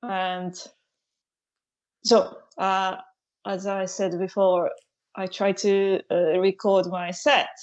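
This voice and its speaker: A woman whose first language is Japanese, chatting on a podcast as a comedian guest, halting and laughing often. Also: medium pitch, flat delivery, dull audio. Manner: hesitant, halting phrasing with frequent laughs. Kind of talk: casual podcast chat.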